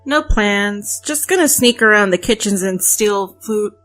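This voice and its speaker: A cheeky young woman as an actress plays her in audio drama dialogue. She is curious and mischievous, mid-pitched with an animated delivery, and music plays softly behind. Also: unhurried.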